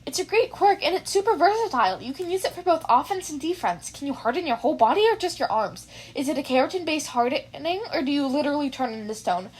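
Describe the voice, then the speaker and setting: A woman's voice: sits high, expressive, fast talker, hardly any pauses. A woman voicing a nervous, eager, brainy teenage boy who rambles and apologizes in a fan fiction reading. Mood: excited rambling. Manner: rambling, apologetic, self-effacing. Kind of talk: fan fiction audiobook character voice.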